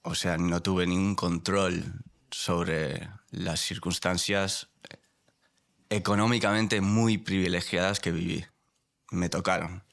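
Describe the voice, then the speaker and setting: A man born in Spain and raised in the United States, a podcast guest speaking in a measured, reflective, confessional way. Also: deep voice, fairly even intonation, gravelly, fairly bright tone. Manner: measured, reflective, choosing words carefully.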